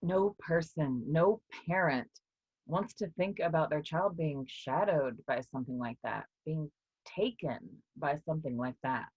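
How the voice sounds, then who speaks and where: Woman doing a weary guarded lady professor in a play, deep husky voice, measured, muffled lofi audio. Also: no room sound. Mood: guarded, troubled. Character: guarded female professor, haunted, reluctant, weary. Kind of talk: staged play reading. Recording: dull, low-fidelity sound.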